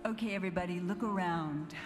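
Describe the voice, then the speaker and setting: A woman's voice: on the low side, fast talker. A woman leading prayer and addressing a congregation as the leader of a liturgy. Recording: echoey hall, music and crowd behind.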